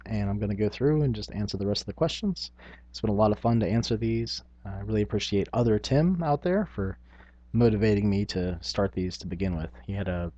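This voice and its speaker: A man's voice: deep voice, darkish tone, muffled recording. A man speaking informally to camera as a tabletop gaming vlogger, thinking aloud in casual talk. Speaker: tabletop gaming video blogger.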